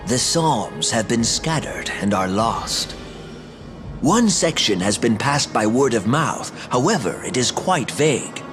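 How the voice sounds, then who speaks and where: An actor playing a pompous, touchy scholar and royal tutor in a fantasy video game, speaking formally and theatrically. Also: male voice, mid-range voice, expressive, a little slow, over music, natural voice. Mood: lecturing.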